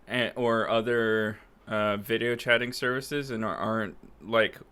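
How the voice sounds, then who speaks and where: A man's voice: lowish voice, steady tone, measured pace, pushed and bright, smooth. A man co-hosting a casual podcast, speaking bluntly and sardonically into a close desk microphone. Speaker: podcast co-host. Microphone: close USB desk microphone on an arm.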